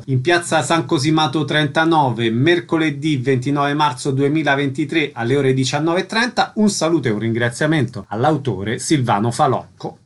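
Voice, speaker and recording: A man's voice: on the high side, fairly bright tone. A man working as a radio interviewer, presenting and putting short, prepared questions.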